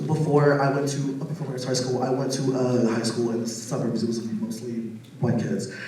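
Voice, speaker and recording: Flat-voiced young man from across a hall. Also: mid-range voice. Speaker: audience participant. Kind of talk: casual discussion. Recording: echoey hall from far off with faint noise.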